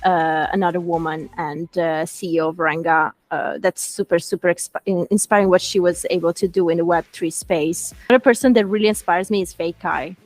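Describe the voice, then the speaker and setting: A woman from Italy, a native Italian speaker with an Italian accent, answering interview questions earnestly with frequent pauses and fillers. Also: lowish voice, fairly even intonation, soft background music. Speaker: show co-host.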